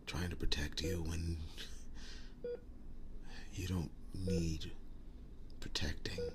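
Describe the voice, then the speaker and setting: Man acting a deep, whispery, slow-talking werewolf boyfriend. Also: little pitch movement, background noise. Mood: earnest, loving. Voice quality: breathy, whispery low voice. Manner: halting, earnest, soft-spoken with long pauses. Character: wounded young male werewolf boyfriend, tender and devoted. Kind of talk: ASMR boyfriend roleplay, intimate acting.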